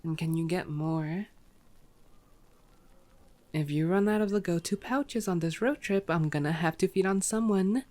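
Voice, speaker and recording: A woman's voice: on the low side, unhurried, pauses often, a little mellow, natural voice. A woman voicing a playful, cocky female vampire in flirty, sardonic ASMR roleplay. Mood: dry grumbling. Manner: flirty, sardonic, foul-mouthed banter.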